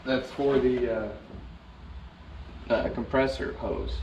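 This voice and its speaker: A man narrating a DIY vehicle install in a relaxed, casual way, talking to himself as he works. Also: mid-range voice, slow pace, a little hiss or hum. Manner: laid-back, talks to himself while working. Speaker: DIY vlogger. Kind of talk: casual how-to narration while working. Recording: roomy, distant, dull camera audio.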